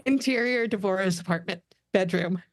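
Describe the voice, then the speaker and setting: A woman's voice: mid-range voice, moderate pace. A woman narrating the stage directions of a script as scripted narration.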